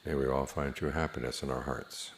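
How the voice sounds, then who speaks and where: Very deep-voiced man guiding meditation. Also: fairly even intonation, a little hiss or hum. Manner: measured, plain-spoken, with long pauses. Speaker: meditation teacher. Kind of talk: guided meditation instruction.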